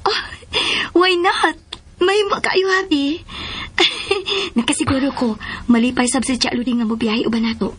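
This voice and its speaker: High-voiced, affectionate Filipino young wife. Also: female voice, steady tone, measured pace, fairly bright tone. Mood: pleased, excited. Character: affectionate young wife and devoted new mother. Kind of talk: Filipino radio drama acting.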